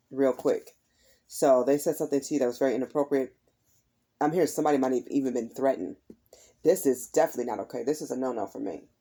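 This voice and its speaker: A woman giving a casual tarot reading to her viewers. Her voice is slightly raspy and tired from heavy use, and she keeps repeating "is what I'm hearing" in a chatty way. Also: extremely low pitch, mellow. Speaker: tarot reader.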